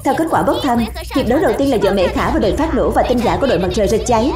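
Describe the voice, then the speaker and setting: Cartoon tournament announcer, female actor: formal, crisp, higher-pitched, echoey sound over music. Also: animated, racing, lightly acted. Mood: formal, excited. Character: formal tournament announcer calling spinning-top battles. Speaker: match announcer. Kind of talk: dubbed cartoon tournament commentary. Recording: roomy echo, music behind.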